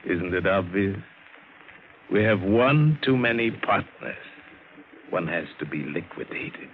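An actor playing a smooth, scheming gun-runner with courteous menace in a vintage radio drama. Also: male voice, sits low, expressive, narrow, tinny audio. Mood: menacing. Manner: suave, deliberate, falsely courteous. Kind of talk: 1950s radio drama acting. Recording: thin, hissy old radio recording.

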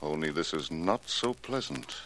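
An actor playing a suave, mercenary fake fortune-teller in a 1940s radio drama, speaking formally and with theatrical measure. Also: male voice, rumbling low, expressive, unhurried, fairly bright tone, heavily acted. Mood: mysterious, solemn. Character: suave, mercenary male fake crystal-ball seer. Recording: noisy, clicking old radio transcription.

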